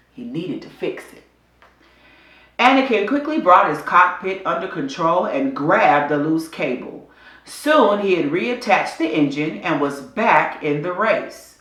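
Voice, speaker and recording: Expressive woman reading a story, roomy sound. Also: lowish voice. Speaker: storybook reader. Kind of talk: dramatic scripted children's story narration.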